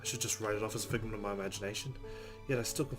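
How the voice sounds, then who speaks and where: Male let's play host, lowish pitch, narrating visual novel text with casual asides over game music. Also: fairly even intonation, very fast. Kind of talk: casual game commentary and reading story text aloud.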